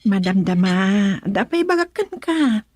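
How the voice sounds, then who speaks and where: A woman's voice: medium pitch, big pitch swings, a little slow, a little mellow, no room sound. A woman acting a devoted, defiant young daughter in love in a radio drama, emotional and pleading, with a Filipino accent. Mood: worried, tender.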